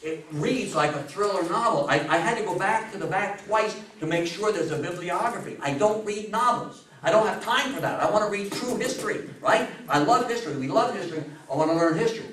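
A man's voice: high voice, animated, a bit bright, slight background noise. Echoey, theatrical man giving a talk. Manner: theatrical, emphatic delivery with dramatic pauses and repetition. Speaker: lecturer. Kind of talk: animated public history talk to a live audience. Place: meeting room with a seated audience, indoors. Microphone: distant camera microphone. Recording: echoey room, recorded from far off.

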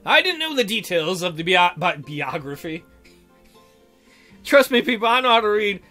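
A man's voice: high voice, very animated, normal speed, bright, forceful tone. A man hosting a let's play, reading a visual novel aloud and adding jokey, theatrical commentary. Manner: jokey, theatrical, breaks into silly mock voices. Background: game music.

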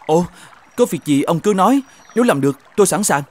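An actor playing a good-natured, simple peasant farmer in an animated fairy tale, earnest and polite. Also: male voice, high-pitched, a little fast. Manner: earnest, polite, slightly naive. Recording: music behind the voice.

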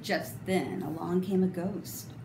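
A middle-aged woman reading a children's picture book aloud expressively, with deliberate, dramatic emphasis. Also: lowish voice, steady tone. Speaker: storybook reader. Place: indoor room, voice a little echoey. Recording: roomy echo, clear background noise.

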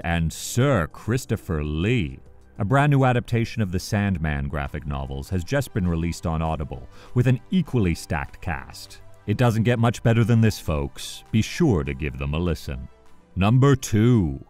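Deep-voiced man narrating a countdown with enthusiasm. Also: darkish tone. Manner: enthusiastic, emphatic list-reading. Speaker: video host. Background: background music.

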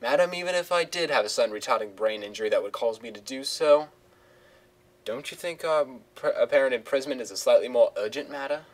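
A man voicing a deadpan sardonic burglar. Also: medium pitch, fairly quick, distant mic, close to an everyday voice. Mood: dry. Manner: dry and deadpan.

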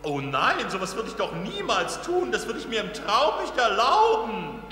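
A man's voice: high voice, huge pitch swings, normal speed, bright, forceful tone, reverberant, heavily acted. A man voicing a scheming, short-tempered, fawning evil wizard in a cartoon dub, theatrical and gloating. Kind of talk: cartoon villain dubbing. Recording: music behind the voice.

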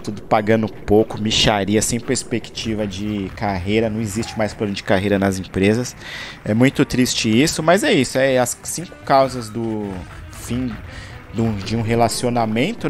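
A man's voice: mid-range voice, normal speed, faint music behind. A man talking casually over his gameplay footage, rambling and searching for words, from Brazil. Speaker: gaming channel host.

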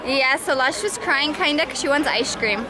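A woman's voice: high voice, normal speed, poor audio. A young woman chatting casually as a family vlogger, recorded on a handheld camera outdoors. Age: young adult. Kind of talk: casual vlog chat. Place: outdoors at an amusement park. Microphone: handheld camera microphone. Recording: loud music and crowd noise behind. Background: music, crowd.

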